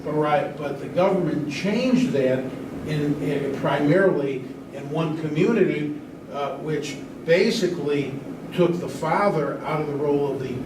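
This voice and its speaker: Male panel speaker, explanatory and halting, slightly high voice; roomy, noisy recording. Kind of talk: informal panel discussion. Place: large room at a live event. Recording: echoey room, distant mic, noisy background.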